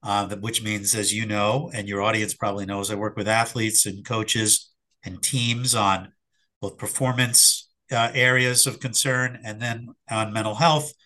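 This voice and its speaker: A middle-aged man, slightly hoarse after an illness, answering interview questions in a reflective, hesitant way with fillers and restarts. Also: lowish voice, fairly even intonation, a little slow, pushed and bright, low-quality recording. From Philadelphia area. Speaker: sports psychologist guest.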